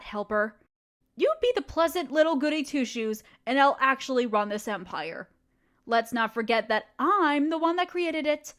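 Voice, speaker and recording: A woman's voice: high voice, fairly bright tone, exaggerated voice. A woman voicing a ruthless, scheming evil queen in an audio roleplay, speaking in a condescending, sardonic, commanding way. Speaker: voice actor.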